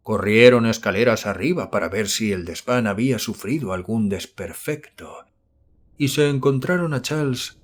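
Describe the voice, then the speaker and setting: A man narrating a horror story as an audiobook, reading in a measured, literary storytelling manner. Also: lowish voice. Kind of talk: scripted narration of a horror novel.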